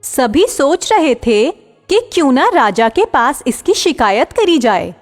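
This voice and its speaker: A woman narrating a children's moral story in a clear, expressive storytelling style. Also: sits high, slow, long reverb tail, faint music behind.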